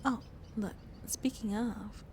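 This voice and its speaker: A woman playing a shy but playfully flirty vampire on a date in an ASMR roleplay, speaking softly and intimately and often slipping into breathy whispers. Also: a little high, steady tone, takes it slowly, a little hiss or hum. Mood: romantic, teasing.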